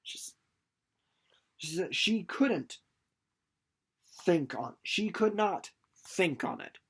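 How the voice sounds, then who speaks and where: A man's voice: sits high, expressive, very slow, breathy edge. A young man giving a casual, informal book recap, playful and rambling off into asides. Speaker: book reader and presenter.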